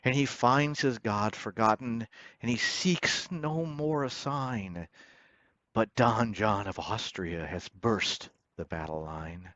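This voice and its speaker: A man's voice: fairly low pitch, slow pace, a bit bright, dry recording. A man giving a dramatic poetry reading in a theatrical, solemn, declamatory manner, with dull, muffled sound. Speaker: poetry reader.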